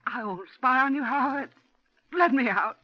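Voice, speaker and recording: A woman's voice: high voice, moderate pace. An actress playing a frightened older widow, pleading and trying to placate her captor in a 1940s radio drama. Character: frightened old widow held captive at home. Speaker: heroine and narrator. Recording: dull 1940s radio broadcast.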